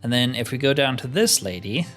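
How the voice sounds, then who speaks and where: A man's voice: mid-range voice, animated, a little fast, a bit bright, faint music behind. A man giving casual game commentary, thinking aloud in an easygoing way. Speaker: gamer narrating own roleplay playthrough.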